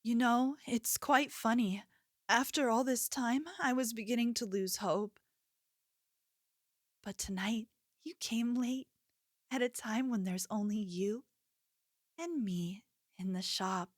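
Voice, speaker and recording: A woman's voice: fairly high pitch, takes it slowly, long pauses, no echo, studio quality. A woman playing an obsessive, possessive young yandere in an ASMR roleplay, speaking intimately and coaxingly, like a lover with menace underneath. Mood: sweetly menacing.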